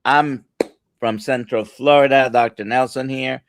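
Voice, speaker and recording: A man's voice: medium pitch, fairly even intonation, unhurried, no echo, studio quality. A man co-hosting a casual livestream as a doctor, greeting viewers and prompting the guest. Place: remote online livestream from Central Florida.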